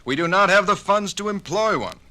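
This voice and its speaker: High-pitched, formal 19th-century regent. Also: male voice, animated, brisk, pushed and bright. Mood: grave, pleading. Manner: formal, declamatory. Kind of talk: 1950s radio docudrama acting. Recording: noisy old 1950s radio recording.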